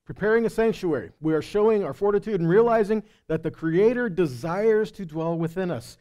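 A man's voice: high voice, brisk, dark tone. A middle-aged man teaching a live sermon in a conversational, emphatic way, speaking into a headset mic. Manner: conversational, checking in with listeners. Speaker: preacher and Bible teacher. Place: indoor stage in a meeting room or hall. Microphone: headset microphone.

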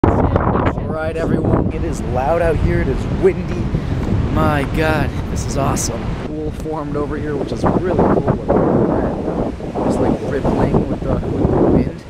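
A young man talking to viewers in a casual travel vlog, excitable and exclaiming often, outdoors on windy seaside cliffs. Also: a little high, unhurried. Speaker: travel vlogger.

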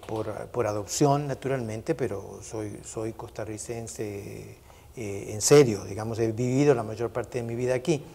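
A man in his sixties from Argentina, a retired professor giving measured explanations as an interview guest. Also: mid-range voice, warm tone. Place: brick-walled indoor room, television set. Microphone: lapel mic. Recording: reverberant room echo.

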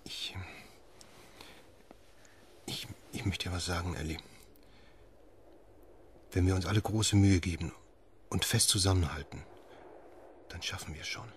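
An actor playing a haunted, grieving middle-aged father in an audio drama, halting and often hushed. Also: low-pitched. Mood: gentle, weary. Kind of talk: audio drama acting, alternating intimate inner monologue and dialogue. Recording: noticeable background noise and ambience.

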